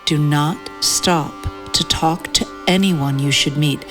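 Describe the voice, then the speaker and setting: Casting: woman playing protective, watchful mother in audio fairy tale; commanding, serious, low register, over music. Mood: stern warning. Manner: commanding, measured warnings.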